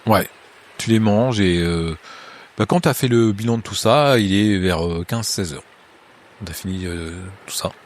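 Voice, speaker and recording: A man running a tabletop horror game as game master, narrating scenes to a player in a halting way with many hesitations. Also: on the low side. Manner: hesitant, many filler sounds and restarts; second-person scene narration.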